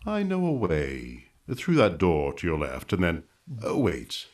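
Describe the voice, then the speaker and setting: An actor playing a gloomy, droll undertaker in 1950s radio comedy, solemn and deadpan. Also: male voice, low voice, unhurried, full character voice. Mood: morbidly droll. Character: gloomy, droll male undertaker full of morbid puns.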